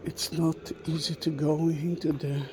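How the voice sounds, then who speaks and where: A man whose first language is Hebrew, guiding a slow, halting walking tour inside a large stone church, recorded on a camera mic. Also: fairly high pitch, level tone, darkish tone, slight background noise. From Israel. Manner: slow, halting, with many fillers. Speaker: tour guide.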